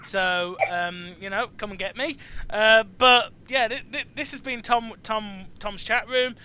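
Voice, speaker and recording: A man in his twenties with a British accent, hosting a casual online chat in a halting way, often repeating words. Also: high-pitched, pushed and bright, clear, resonant tone. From United Kingdom. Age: 20s. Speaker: podcast host.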